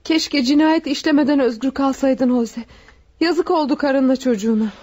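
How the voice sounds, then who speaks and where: A woman's voice: sits high, moderate pace, darkish tone, natural voice. An actor playing a young woman who is shocked by her lover's crime, alarmed and reproachful. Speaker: radio drama actor. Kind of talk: vintage radio drama acting. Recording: dull lo-fi sound.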